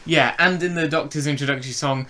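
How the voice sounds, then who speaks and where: Bright-voiced man chatting, a bit halting. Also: a little high. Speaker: podcast co-host reviewing audio dramas. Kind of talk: casual review chat.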